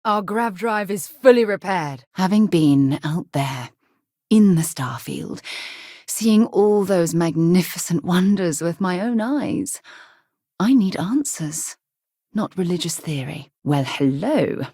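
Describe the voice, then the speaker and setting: A woman playing an earnest, sharp-minded space explorer companion in a video game, speaking articulately and formally. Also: on the low side, animated, slow pace, no room sound, studio quality. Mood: composed. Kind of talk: scripted game dialogue acting.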